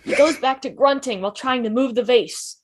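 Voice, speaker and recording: A woman's voice: on the high side, brisk. A woman reading stage directions expressively as scripted narration for a table read over a video call.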